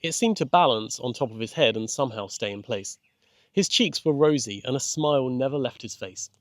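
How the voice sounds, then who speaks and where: A man's voice: medium pitch, animated, brisk, no room sound. Man narrating a children's story, dry sound. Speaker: author and narrator. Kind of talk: scripted children's audiobook narration.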